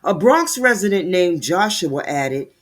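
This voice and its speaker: A man's voice: high voice, big pitch swings, a little slow, fairly bright tone. A man giving heated, sarcastic commentary while reading news aloud, stumbling over words as he reads.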